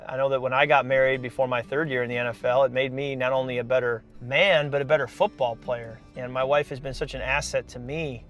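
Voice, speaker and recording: A man's voice: mid-range voice, fairly even intonation, quick pace, hardly any pauses, fairly bright tone, faint music behind. A man hosting a casual sit-down interview, talking in an earnest, encouraging way. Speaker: host, pro quarterback mentoring draft prospects.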